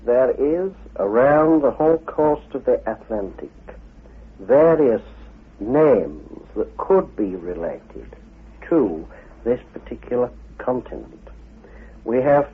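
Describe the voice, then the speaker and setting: Male lecturer, unhurried, animated intonation, muffled lo-fi radio sound. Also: medium pitch, husky. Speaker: author and head of an esoteric order. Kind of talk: measured expository lecture. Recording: dull lo-fi radio recording.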